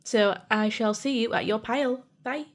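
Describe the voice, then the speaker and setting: A woman's voice: a little high, normal speed. Chatty woman reading tarot with a sore throat. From United Kingdom. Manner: chatty and reassuring, says "you know" and "guys" a lot. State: sore throat, voice cracking at times. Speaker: tarot reader. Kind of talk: pick-a-card tarot reading.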